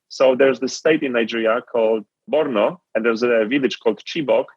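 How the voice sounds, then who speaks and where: A man's voice: medium pitch, no echo, dull audio. A man from Poland with a Polish accent, telling his story as an interview guest in an earnest, reflective way. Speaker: guest entrepreneur.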